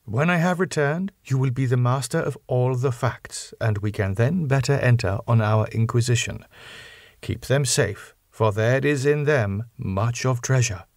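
A man voicing a grave, fervent old foreign professor who speaks solemn, halting, slightly broken English in an audiobook. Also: mid-range voice, no room sound, clean studio sound, lightly acted. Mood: grave, earnest. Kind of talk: audiobook character voice.

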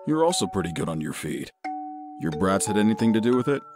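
Deep, gruff older father acted by a man. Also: sing-song, fast talker. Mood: gruff, tender. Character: gruff, loving older mercenary father, widowed. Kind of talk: comic dub voice acting. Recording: loud music bed under voice.

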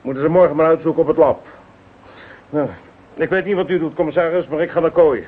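An actor playing a gruff, sarcastic, blustering harbour police inspector in a radio drama. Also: male voice, fairly high pitch, fairly even intonation, quick pace. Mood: brusque. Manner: blustering, sarcastic, nautical slang. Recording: muffled, thin old radio recording.

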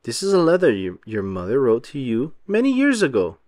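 A man voicing a gentle, kindly older sultan father in a children's storybook, tender and reassuring. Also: medium pitch, wildly swooping pitch, a little fast, no room sound, clean studio sound.

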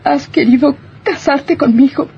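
A woman playing a fragile, guilt-ridden young wife, halting and anguished, in a radio melodrama. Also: sits high, warm tone, dull audio. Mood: anguished confession.

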